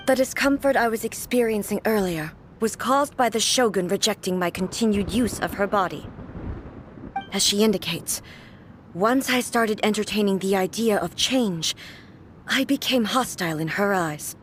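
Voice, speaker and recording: A woman's voice: medium pitch, moderate pace, slight background noise, natural voice. A woman playing a proud immortal warrior goddess in an anime game, grave, formal and commanding. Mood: calm, reflective. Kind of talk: dramatic anime game voice acting. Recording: music behind the voice.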